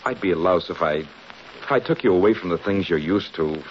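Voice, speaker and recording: A poor young gardener, earnest and tender, speaking in a lowish voice that still moves freely. It comes through a muffled old radio recording with faint hiss. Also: male voice, animated, fairly quick. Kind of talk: 1930s radio acting.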